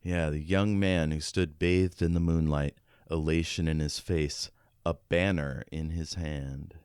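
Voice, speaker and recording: Guy podcaster, slow and deep, real dry close sound, casual book chat. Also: steady tone, darkish tone, clean tone, no echo. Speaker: podcast co-host. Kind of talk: casual book discussion, reading out passages.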